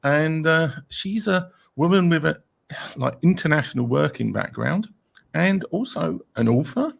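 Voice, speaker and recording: A man's voice: fairly high pitch, animated, measured pace, vocal fry. A man hosting a casual online interview, introducing his guest in a rambling, hesitant way with many fillers. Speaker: host.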